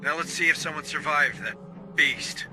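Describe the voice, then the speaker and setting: A man's voice: on the high side, normal speed, over music, natural voice, rough recording. A man playing a no-nonsense space marine sergeant in a video game, commanding and clipped. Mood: firm, commanding. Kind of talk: scripted video game dialogue. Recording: faint background ambience.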